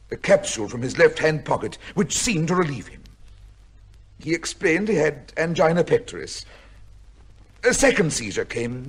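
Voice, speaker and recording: A desperate, upright judge accused of a crime in an old radio play. His voice is husky and swings widely in pitch, with formal, emphatic diction and an anguished, defensive edge. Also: full character voice. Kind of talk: old-time radio drama acting.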